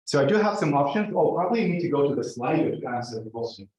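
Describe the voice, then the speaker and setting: A middle-aged man from Palestine with a Palestinian Arabic accent, giving an informal academic talk in a halting, conversational way. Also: on the high side, big pitch swings, darkish tone. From Gaza, Palestine. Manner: conversational, halting, frequently checks in with "right?" and "like". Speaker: professor, invited speaker. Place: indoor lecture room. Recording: very echoey room, distant muffled mic.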